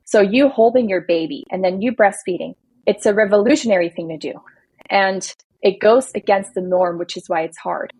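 Husky-voiced woman explaining workers' rights. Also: medium pitch, normal speed, a little mellow, no room sound, poor audio. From Belgium. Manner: earnest, explanatory, speaks in long building lists. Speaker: employment attorney guest. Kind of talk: casual podcast interview.

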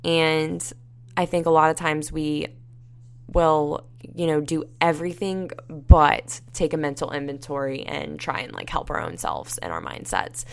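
Young female podcast host, low gravelly voice, tired and raspy, rambling and casual. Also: animated, measured pace. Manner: rambling, many fillers like "like" and "you know". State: tired and dehydrated. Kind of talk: solo podcast monologue, casual advice.

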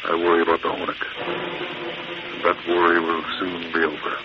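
Actor playing a deep-voiced, cold-blooded gang boss. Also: male voice, monotone, moderate pace, close to an everyday voice. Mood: gloating, menacing. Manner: commanding, menacing, gloating. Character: ruthless gang boss saboteur, cold and scheming. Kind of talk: old-time radio drama acting. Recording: muffled, noisy vintage radio recording.

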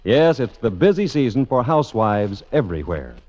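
A man's voice: medium pitch, very animated, normal speed, a little mellow. A man reading scripted old-time radio announcements in a polished, formal presenter's style. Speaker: radio announcer and show host. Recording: dull vintage radio recording, faint music.

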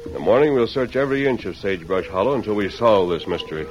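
An actor playing a calm, resolute cowboy lawman hero in an old-time radio western, speaking in a measured, formal, authoritative way. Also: fairly low pitch, highs cut off, music in the background, lightly acted. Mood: decisive. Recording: noisy vintage radio drama recording.

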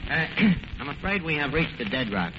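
A man's voice: a little high, big pitch swings, moderate pace. A man acting a brash, slangy tavern manager who mangles his words in an old radio comedy. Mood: flustered, muttering. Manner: malapropisms and bad grammar, bluffing. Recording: noisy, muffled old radio recording.

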